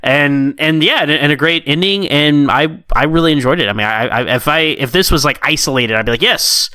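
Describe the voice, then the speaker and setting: Bright, clear-voiced man chatting casually. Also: fairly high pitch, brisk, dry recording. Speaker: podcast co-host. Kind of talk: casual review chat.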